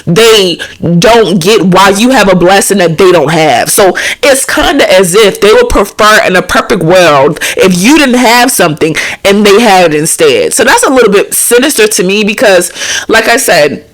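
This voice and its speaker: A woman hosting a casual advice talk, animated and preachy, sounding nasal from a cold. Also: mid-range voice, moderate pace, low-quality recording. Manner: animated, preachy, acts out quoted friends. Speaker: host.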